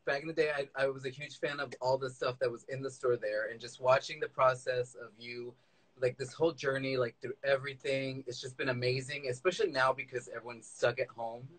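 A man's voice: mid-range voice, steady tone, brisk, fairly bright tone, low-quality recording. A man hosting a casual chat interview in a gushing, informal way. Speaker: interviewer, drag queen host. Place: indoors, small room. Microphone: phone at arm's length, video call.

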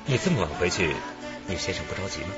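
A smooth, low-voiced genial conman. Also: very animated, quick pace. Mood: genial, suave. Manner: chuckling, ingratiating. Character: smooth-talking businessman conman, chuckling and falsely genial. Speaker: radio drama actor. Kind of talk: radio drama dialogue. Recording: muffled, music behind.

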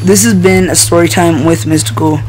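A man's voice: a little high, fairly even intonation, normal speed, a little hiss or hum, poor audio. A young man telling a rambling, profane story casually while playing a shooter game. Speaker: video host. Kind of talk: casual storytelling over gameplay. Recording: loud game music and gunfire behind. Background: shooter game music, gunshots, vehicles.

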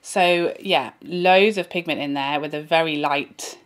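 A woman in her thirties speaks in a somewhat low voice, a little hoarse from a cold. She chats eagerly and directly to her viewers, as if sitting across from them in a bright room at home. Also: measured pace. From England. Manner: chatty, enthusiastic, talks to viewers directly. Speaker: beauty vlogger. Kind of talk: casual product review.

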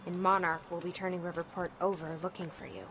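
A woman's voice: on the low side, level tone, fast talker, noisy background, natural voice. A woman acting a tough, sardonic, no-nonsense operative in a cinematic video game, terse and dry. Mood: serious, urgent.

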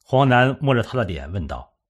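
A man narrating a suspense novel as an audiobook, in a measured, dramatic storytelling manner. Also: mid-range voice, very animated, slightly rough.

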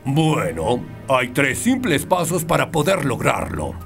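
A stern cartoon villain coach, slow and gravelly, with lively rises and falls. He is domineering and commanding. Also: medium pitch, faint music behind, heavily acted. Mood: scheming. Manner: deliberate, commanding.

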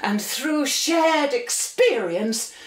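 Woman playing a pompous, high-voiced British luvvie actress. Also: lively intonation, very slow, reverberant, exaggerated voice. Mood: earnest. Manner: affected, pompous, precious. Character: pretentious, theatrical amateur actress, earnest and gushing. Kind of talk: stagey comic radio play dialogue.

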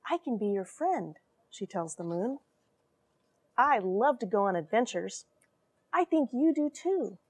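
A woman in her forties reading and explaining a story to children in an animated, questioning way from a small airlock. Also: on the high side, a little slow, pauses often, husky, dry recording. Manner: animated, expressive, addresses young listeners with questions. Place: small cramped metal space station airlock.

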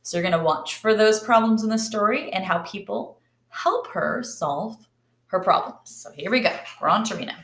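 A woman's voice: medium pitch, normal speed. A woman, reading a picture book aloud and putting questions to children in a teacherly way. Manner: expressive, teacherly. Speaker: read-aloud storyteller. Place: kitchen or dining area at home, indoors. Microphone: laptop or webcam at arm's length. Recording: roomy home webcam sound.

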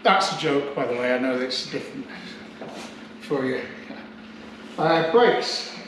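A man's voice: medium pitch, unhurried, roomy sound. Chatty guy in a noisy garage. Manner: chatty, jokey, thinking aloud. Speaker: car restoration vlogger. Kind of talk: casual workshop chat. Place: indoor garage workshop.

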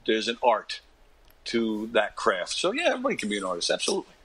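A fifty-year-old man, chatting casually as an interview guest and telling stories in an animated, conversational way. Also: lowish voice, fast talker, husky, low-quality recording. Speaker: interview guest, actor and comedian. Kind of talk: casual interview anecdotes and advice.

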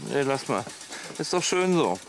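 An actor playing a middle-aged, awkward, gruff husband and mechanic in a radio play, terse and plain-spoken. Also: male voice, mid-range voice, sing-song, a little slow, noisy background. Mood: tender. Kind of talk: German radio play acting.

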